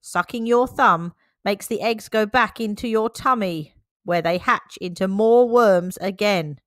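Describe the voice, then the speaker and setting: A woman reading the lines of a brisk, no-nonsense male dog doctor in a picture book, firm and explanatory. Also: mid-range voice, fairly bright tone, no echo, lightly acted. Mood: lecturing.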